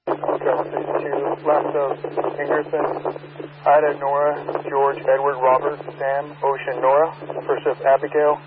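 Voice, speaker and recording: A man gives clipped police radio traffic in a very even, monotone voice. He sounds distant through a tinny, noisy scanner channel, reading out letters as phonetic names. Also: fairly high pitch, normal speed.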